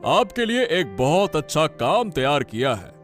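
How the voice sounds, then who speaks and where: A man voicing a commanding, pompous king with a deliberate, authoritative manner in a Hindi cartoon story. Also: fairly high pitch, sing-song, faint music behind. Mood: smoothly commanding. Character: commanding, imperious king giving orders to subjects.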